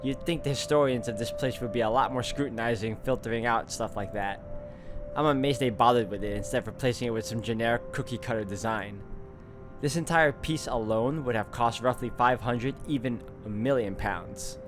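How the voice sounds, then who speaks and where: A man hosts a visual novel playthrough with game music behind him. His voice sits at a middling pitch. He reads the text aloud and drops in casual comments, thinking out loud. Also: brisk. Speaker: let's play host.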